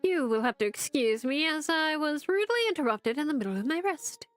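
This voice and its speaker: A woman's voice: sits high, animated, brisk, no room sound, faint music behind, voice pushed up. A woman voicing a pompous, grumpy talking book with a haughty, theatrical put-on accent.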